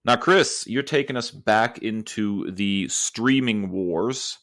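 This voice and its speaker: A man's voice: fairly low pitch, slow pace, fairly bright tone, dry recording, studio quality. A man co-hosting a podcast, talking casually and emphatically as he gives his opinions. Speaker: podcast co-host.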